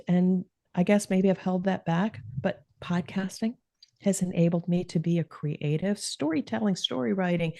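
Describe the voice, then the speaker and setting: A middle-aged woman with a low, calm voice and a warm, mellow tone. She talks earnestly and reflectively, as a guest on an interview show. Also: creaky. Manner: reflective, earnest, with occasional laughs. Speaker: podcast guest. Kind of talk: conversational interview.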